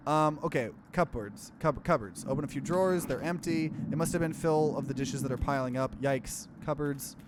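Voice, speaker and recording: Slightly high-voiced man narrating a game. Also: moderate pace, few pauses, background noise. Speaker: gaming let's play host. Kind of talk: reading visual novel narration aloud with casual commentary.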